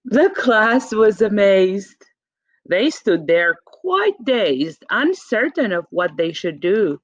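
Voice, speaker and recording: Slow, expressive woman reading a picture book. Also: medium pitch, no room sound. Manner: dramatic pauses and emphasis, addressing a child listener. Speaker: storybook reader. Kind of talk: expressive children's picture book read-aloud. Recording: dull, muffled home recording.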